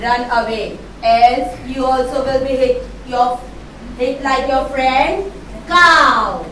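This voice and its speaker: A woman performs a cruel, menacing bully from a puppet play. The voice is high and slow. It sounds distant in a very echoey hall. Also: fairly bright tone, slight background noise. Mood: threatening. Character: cruel bullying villagers threatening farm animals.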